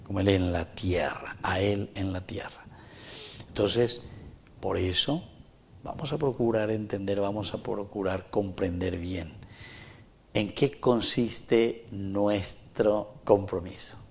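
A man's voice: fairly low pitch, slow pace, a little hiss or hum. A middle-aged man with a Paraguayan Spanish accent, preaching a homily at Mass in earnest, repeating phrases and asking rhetorical questions. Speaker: priest. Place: small chapel with wooden walls, indoors.

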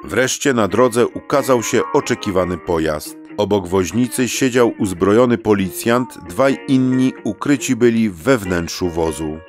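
Man, low voice, scripted storybook narration, storyteller, faint guitar music behind. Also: expressive, a little slow, hardly any pauses.

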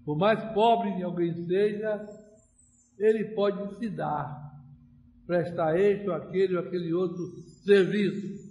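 Very slow, high-pitched Brazilian male preacher. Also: fairly even intonation, mellow, dull audio, a little hiss or hum. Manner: solemn, deliberate, with long pauses between short phrases. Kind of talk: homily. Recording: roomy, echoing church-like sound.

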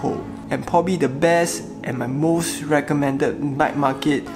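A man's voice: a little high, steady tone, unhurried. A young man chatting casually and informally as a travel vlogger, recorded in a room at home. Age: young adult. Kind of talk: casual travel vlog talk. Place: small living room at home, seated indoors. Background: music.

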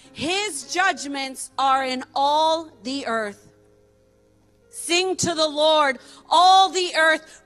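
A woman's voice: sits high, bright, forceful tone. A woman in her thirties reading a psalm aloud in church into a handheld mic, with declamatory, emphatic proclamation. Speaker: church reader. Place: church stage, indoors. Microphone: handheld microphone. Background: music.

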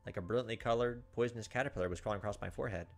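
A man, perhaps in his thirties, reading visual novel narration aloud and commenting, with dramatic, halting delivery. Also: on the low side, gravelly. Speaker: gaming video host. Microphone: desk microphone close up. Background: game music.

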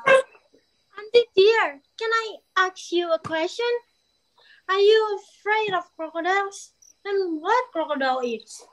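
A young woman playing a curious, polite elephant child in a readers theater, asking questions in a drawn-out way. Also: very high voice, a bit bright, full character voice, poor audio. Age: young adult. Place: room at home, on video call. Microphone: video call microphone.

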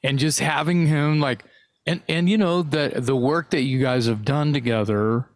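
A man co-hosting a podcast, talking in a rambling, halting way with casual swearing. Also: fairly high pitch, steady tone, no echo. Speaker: podcast co-host. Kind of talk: casual interview chat.

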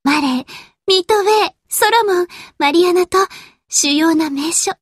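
A woman's voice: extremely high pitch, moderate pace, no echo, clean studio sound. A woman playing a haughty, refined young lady warship girl in an anime game, with prim, affected ladylike speech. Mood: proud, wistful. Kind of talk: anime game character voice lines.

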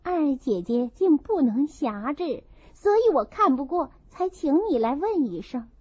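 A woman voicing a sharp-tongued, indignant young noblewoman in an audiobook, with forceful, pointed delivery. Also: sits high, sing-song, unhurried. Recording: dull lo-fi dry recording.

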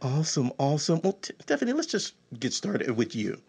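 A man's voice: a little high, a little fast, breathy edge. A man hosting a casual podcast interview, enthusiastic and full of eager questions. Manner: enthusiastic, excitable, asks many questions. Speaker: podcast host.

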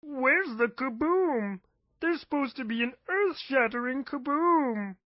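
A man voicing a small, prissy, scheming cartoon alien with a prim, deliberate delivery. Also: very high voice, animated, no room sound, highs cut off, full character voice. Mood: puzzled, peeved.

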